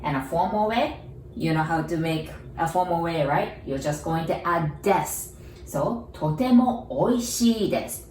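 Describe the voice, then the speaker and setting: A woman with a Japanese accent teaches a lesson at an unhurried pace, repeating each word and checking in with her learners. Her voice sits a little low. She sounds distant from the mic in an echoey room. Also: animated, slight background noise. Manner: patient, repeats words slowly, asks learners questions, laughs softly. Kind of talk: online language lesson.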